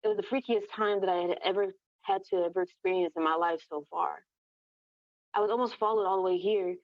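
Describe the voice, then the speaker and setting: A woman's voice: medium pitch, fairly even intonation, a little fast. A woman reading her own first-person story aloud as a narrator, in an echoey, muffled recording. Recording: echoey room, dull lo-fi sound.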